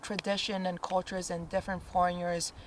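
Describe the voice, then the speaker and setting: A woman's voice: on the low side, little pitch movement, background noise. A young adult woman from Africa co-hosting a podcast, rambling casually with lots of fillers. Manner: rambling, frequent "like", quick laughs. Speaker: podcast co-host.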